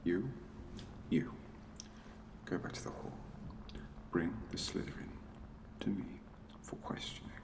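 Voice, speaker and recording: Casting: performer as stern, sardonic wizard-school professor for ASMR roleplay; very low, whispery delivery, condescending, sounding a little distant. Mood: stern, commanding. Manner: slow, deliberate, condescending, clipped commands.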